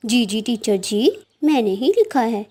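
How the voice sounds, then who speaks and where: A woman acts out a lazy young schoolboy bird in a children's cartoon. He is sly and cheeky, with a very high voice and a casual way of talking. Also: lively intonation, measured pace, warm tone, husky. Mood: falsely innocent. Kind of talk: children's cartoon story acting.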